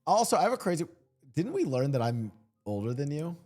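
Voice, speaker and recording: A man in his thirties hosting a podcast, joking and rambling with a guest and quick to laugh. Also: a little high, very animated, warm tone. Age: 30s. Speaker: podcast host. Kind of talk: casual podcast chat.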